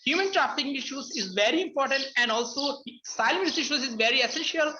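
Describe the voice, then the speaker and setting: High bright Bangladeshi woman, halting. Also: expressive, highs cut off, slight background noise. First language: Bengali. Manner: hesitant, many uh and um fillers, restarts. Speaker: webinar panelist. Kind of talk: halting spoken remarks in a webinar. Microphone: video call.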